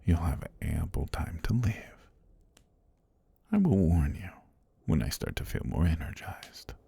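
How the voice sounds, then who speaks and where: A man performs an ancient male serpent god with a low, dark voice. He speaks in formal, whispery phrases that rise and fall, intimate as ASMR. He is calm and tender, with a predatory edge. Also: exaggerated voice. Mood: softly menacing. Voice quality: husky, breathy low voice. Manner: slow, deliberate, formal speech with whispery delivery. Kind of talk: intimate ASMR roleplay monologue.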